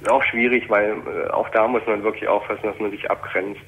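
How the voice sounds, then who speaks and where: A man's voice: on the low side, fairly even intonation, a little fast. A man calling in to a radio show, talking thoughtfully and hesitantly about his work as an emergency doctor, with many fillers. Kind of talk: phone-in conversation. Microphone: telephone line. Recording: dull lo-fi phone line with faint noise.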